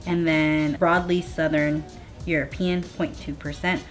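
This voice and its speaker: Low-pitched, playful woman chatting over music. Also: little pitch movement, a little slow. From Vietnamese family. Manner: playful, teasing. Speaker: vlog co-host. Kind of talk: casual chat, reading results aloud.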